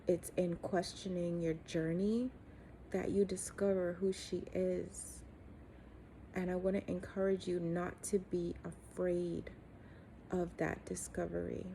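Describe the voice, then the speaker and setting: A middle-aged woman giving an earnest, encouraging, faith-based motivational talk, speaking deliberately with frequent pauses. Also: on the low side, little pitch movement, vocal fry, warm tone, a little hiss or hum, low-quality recording. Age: 40s or 50s. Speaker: coach and host giving encouragement.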